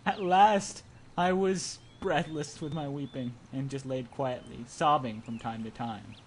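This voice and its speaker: A man's voice: medium pitch, unhurried. A young man reading a visual novel aloud as an amateur narrator, in a hammy, playful way that mixes dramatic reading with joking asides. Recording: amateur home recording with clear background noise.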